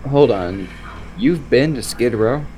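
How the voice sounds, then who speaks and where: An actor playing a geeky, easily distracted sidekick in a naturalistic audio drama, speaking casually with a wry, rambling manner. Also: male voice, medium pitch, lively intonation, slow, mellow, slight background noise. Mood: puzzled, hesitant.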